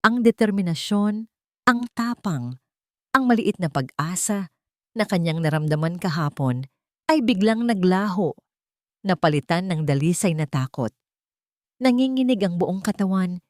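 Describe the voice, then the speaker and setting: A woman narrating a scripted dramatic story in a theatrical, expressive manner. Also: lowish voice, no echo, studio quality.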